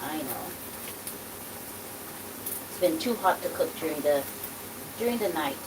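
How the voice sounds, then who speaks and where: A woman's voice: deep voice, expressive, measured pace, recorded from a distance. Chatty Trinidadian woman cooking at home. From Dominica, raised in Trinidad and Tobago. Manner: chatty, rambling, talks while cooking. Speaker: cooking channel host. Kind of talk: casual cooking vlog chat. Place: home kitchen. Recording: loud kitchen noise, voice off-mic. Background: sizzling food frying.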